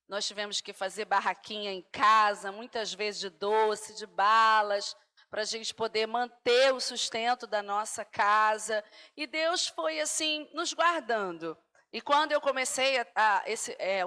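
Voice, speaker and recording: A woman shares her church testimony on a televised program. Her voice sits a bit high, and she speaks earnestly and personally. She keeps dropping small asides as she goes. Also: bright, forceful tone. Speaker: guest giving a testimony.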